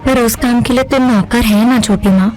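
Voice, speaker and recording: A fairly high-voiced, caring young woman, acted by an actress, gentle and kind. She speaks in serial drama style with faint music underneath. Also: moderate pace, close to an everyday voice, rough recording. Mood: meek protest. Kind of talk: Hindi audio serial drama acting.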